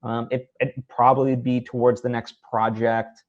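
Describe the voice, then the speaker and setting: A man's voice: medium pitch, little pitch movement, normal speed. Guy hosting a casual interview, kinda hesitant and earnest, mid voice, bit muffled. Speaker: interview host. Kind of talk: casual one-on-one interview conversation.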